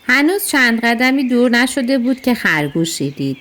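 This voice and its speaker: A woman storyteller with a fairly high voice narrates for children. Her delivery is scripted and expressive, each sentence set out clearly.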